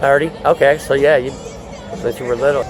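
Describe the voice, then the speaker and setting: A man's voice: medium pitch, fairly even intonation, a little fast, over music, a crowd in the background, poor audio. A man hosting a vlog, asking concert fans friendly questions at an outdoor pre-party. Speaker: host interviewing fans. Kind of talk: casual street interviews.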